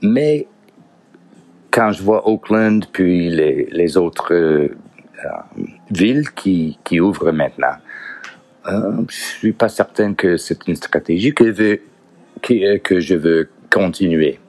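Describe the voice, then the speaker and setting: Man, very low voice, slow unhurried delivery, halting, searching for words, casual podcast talk. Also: expressive, a little mellow. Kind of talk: casual solo podcast monologue.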